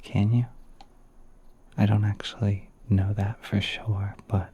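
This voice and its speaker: A man playing a gentle, courteous, shy spider prince in an ASMR roleplay, soft and breathy, often whispering, with polite, hesitant musing. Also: lowish voice, a little hiss or hum.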